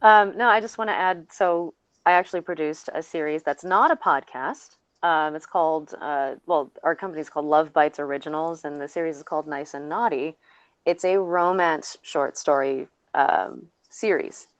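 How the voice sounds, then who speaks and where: A woman producer talking casually on a panel, explaining in a halting way and often checking in with the listener. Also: low-pitched, clean tone, no room sound, lo-fi sound.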